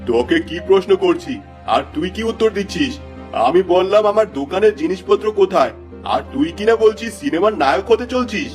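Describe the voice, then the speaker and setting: A man's voice: high-pitched, lively intonation, a little fast, a little mellow, faint music behind. A man voicing an exasperated, suspicious shopkeeper father in a cartoon, melodramatic and scolding. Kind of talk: Bengali cartoon fable voice acting.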